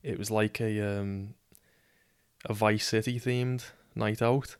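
A young man from Liverpool with a Scouse accent, hosting a casual solo podcast and telling a story in a monotone, sweary way, often tripping over his words. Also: lowish voice, slow, smooth, no echo, studio quality. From Liverpool, England. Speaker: podcast host.

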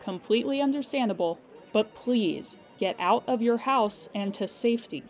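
A woman plays a female emergency hotline operator in an audio comedy drama. She sounds composed and courteous, with a dry, put-upon customer-service manner and a middling pitch. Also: normal speed, faint music behind, lightly acted. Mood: firm, reassuring. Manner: calm customer-service politeness with dry exasperation.

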